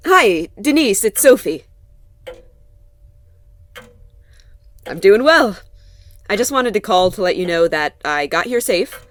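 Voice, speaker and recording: An actress playing a wry, guarded woman in a naturalistic audio drama, talking haltingly and gently. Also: medium pitch, expressive, slight background noise, close to an everyday voice. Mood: casual, reassuring. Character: Sophie, warm, wry, guarded woman mechanic.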